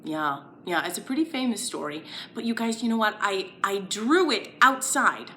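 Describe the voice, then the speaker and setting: A woman's voice: mid-range voice, animated, normal speed, a bit bright, slight background noise. A woman telling a story to young children in a sing-song way, with exaggerated emphasis, speaking to them directly.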